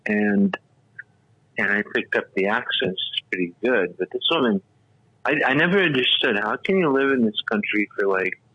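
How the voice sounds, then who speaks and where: A man's voice: on the low side, animated, normal speed, slightly rough, no echo. A man in his sixties, a radio talk show host, telling stories in a rambling, casual way full of fillers and restarts. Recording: dull, lo-fi radio audio.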